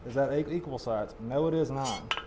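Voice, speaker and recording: A man teaches forging in a hoarse voice that is half gone. His talk is folksy and patient as he works. Loud ringing hammer blows clang behind him. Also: mid-range voice, moderate pace, heavy background noise. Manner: folksy, explains step by step while hammering. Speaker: blacksmithing demonstrator. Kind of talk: live teaching demonstration. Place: blacksmith forge demonstration.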